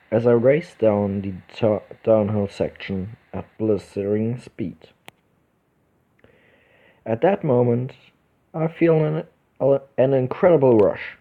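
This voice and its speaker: A man from Germany with a German accent hosting a casual let's play, reading visual novel text aloud haltingly and correcting himself as he goes. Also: mid-range voice. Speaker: gaming video host. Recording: dull lo-fi microphone, music behind.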